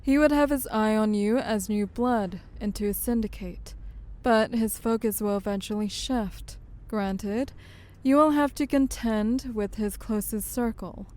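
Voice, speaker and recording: Woman playing slow, mellow syndicate muscle. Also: mid-range voice, close to an everyday voice. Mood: knowing, advising. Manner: unhurried, knowing, teasing. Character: wry, worldly female syndicate muscle, a secret informant. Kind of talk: audio drama acting.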